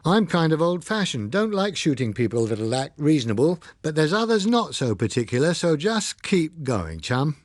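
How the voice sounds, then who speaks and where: Man playing a blunt, gruff armed guard, raised pitch. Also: sing-song, moderate pace, hardly any pauses, no room sound, studio quality. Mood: warning. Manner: blunt, colloquial, commanding. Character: gruff male armed guard, blunt, warning but reasonable.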